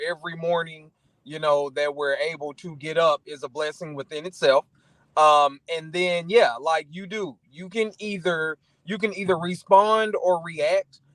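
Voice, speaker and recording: An American man with a high-pitched voice talks as a show guest. His delivery is casual and conversational, with a motivational bent. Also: normal speed, a bit bright, dry recording, poor audio.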